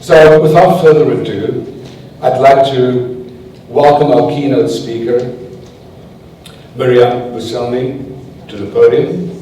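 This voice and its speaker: A man opening a conference as host, giving brisk, informal welcoming remarks. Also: mid-range voice, dark tone, slight background noise. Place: large conference hall. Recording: echoey hall from far off.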